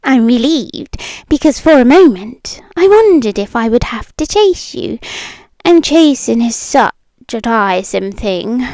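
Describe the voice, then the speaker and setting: A British woman playing a possessive, wealthy, menacingly sweet yandere in an intimate ASMR roleplay, drifting between soft deliberate speech and breathy whispers. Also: on the high side, no echo. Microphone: close microphone, dry.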